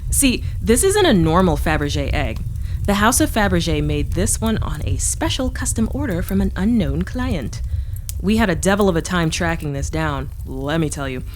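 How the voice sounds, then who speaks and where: Brash, animated woman adventurer played by an actress. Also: fairly low pitch, moderate pace, slight background noise, close to an everyday voice. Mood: smug, showy. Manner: sassy, teasing, salesman-like showmanship. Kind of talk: audio drama acting, conversational.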